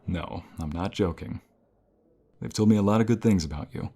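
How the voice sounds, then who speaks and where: A man playing a caring university professor in an ASMR roleplay, speaking in a calm, reassuring and gentle manner. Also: low-pitched, moderate pace. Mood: caring, encouraging. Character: caring male university professor comforting a burnt-out student. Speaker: voice actor.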